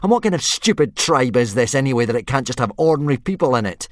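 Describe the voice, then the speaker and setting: A man voicing a defiant young Viking boy who shouts in anger. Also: medium pitch, expressive, fast talker, dry recording, studio quality, voice pushed up, close to an everyday voice. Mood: angry. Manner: shouting, yelling at the sky.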